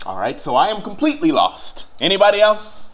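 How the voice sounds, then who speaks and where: A man's voice: high-pitched, big pitch swings, brisk, a bit bright. An actor playing a courteous, earnest young king in an audio fairy-tale drama, speaking formally. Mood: bewildered.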